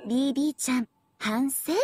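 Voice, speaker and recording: A woman's voice: high-pitched, fairly quick, darkish tone, a little hiss or hum, lightly acted. An actress playing a smug, teasing young AI devil girl in an anime game, with a sweet girlish voice and a playful, mock-polite, condescending manner. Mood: coy. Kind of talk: Japanese anime game voice acting.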